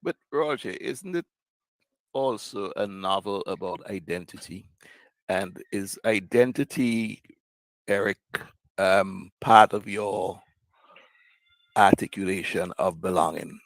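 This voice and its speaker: A man in a book discussion, speaking reflectively and haltingly as he searches for the right words. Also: sits low, no room sound, poor audio. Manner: hesitant, searching for words, long pauses. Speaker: book club panellist.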